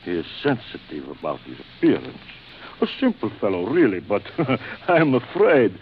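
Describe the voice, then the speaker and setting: An actor playing a suave, proud general and big-game hunter, speaking in a courtly, theatrical way in a vintage radio drama. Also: male voice, mid-range voice, wildly swooping pitch, normal speed. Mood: genial, polite. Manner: courtly, formal, theatrical, savouring his words. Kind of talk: 1940s radio acting. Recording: muffled, noisy vintage radio recording.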